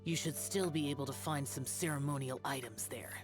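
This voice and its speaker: A stern, aloof young guardian from a video game, voiced by a man. He speaks in formal, clipped sentences with little warmth. Loud game music plays under his voice. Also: mid-range voice, fairly quick, lightly acted. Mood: cold. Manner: formal, curt, solemn.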